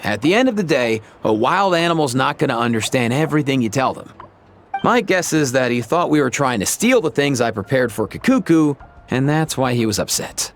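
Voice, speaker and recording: A man's voice: mid-range voice, big pitch swings, fairly quick, soft background music. An actor playing a casual, brotherly young man in a video game, slangy and a little bashful. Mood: explaining.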